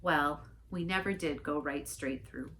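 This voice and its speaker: A woman reading a storybook aloud in an expressive narrating style. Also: low voice, measured pace, a little hiss or hum. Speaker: story reader, church staff member.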